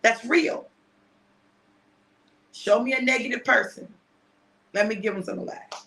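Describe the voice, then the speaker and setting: Bright-voiced woman preaching and selling. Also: a little high, measured pace, vocal fry. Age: 50s. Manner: chatty, repeats phrases, asks "right?". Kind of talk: casual preaching and sales pitch.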